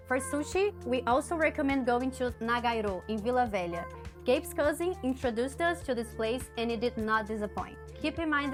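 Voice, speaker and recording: A friendly woman narrates travel tips in a fairly high voice. Soft music runs quietly behind her. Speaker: travel vlog co-host.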